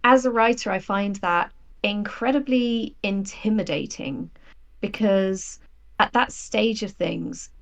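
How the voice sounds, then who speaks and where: A woman's voice: mid-range voice, takes it slowly. A woman speaking as a guest writer in a reflective interview conversation, candid and explanatory about her own doubts. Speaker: guest, audio drama writer.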